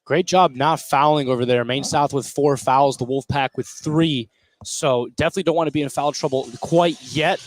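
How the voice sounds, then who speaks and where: A man's voice: medium pitch, steady tone, moderate pace. A man giving conversational colour commentary and analysis during a live basketball game.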